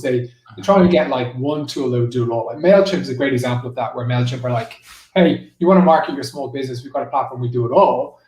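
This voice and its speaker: A man's voice: medium pitch, a little mellow. A man answering as a guest in a business interview, explaining things conversationally with plenty of "like" and "you know". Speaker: interview guest, marketing executive. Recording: roomy echo, distant from the mic.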